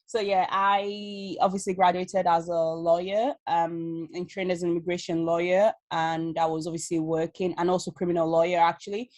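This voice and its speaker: A woman's voice: lowish voice, moderate pace, few pauses, no room sound. A woman in her thirties from Leeds, with a Yorkshire accent mixed with Nigerian, telling her story in a casual interview over a video call. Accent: Yorkshire with Nigerian touches. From Leeds, England. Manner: animated, laughs often, many fillers. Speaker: guest, Amazon seller.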